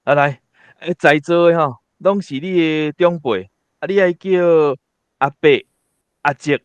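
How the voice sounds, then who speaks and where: An actor playing a genial, respected old great-uncle in a radio drama, with a Taiwanese accent and a hearty, easygoing manner. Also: male voice, a little high, a little slow, smooth, no room sound, lo-fi sound, natural voice. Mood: welcoming, impressed. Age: older.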